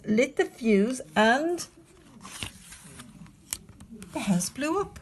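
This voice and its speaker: A woman narrating a children's storybook expressively, as a librarian would, with dramatic delivery and hushed phrase endings. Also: a little high, gravelly, husky.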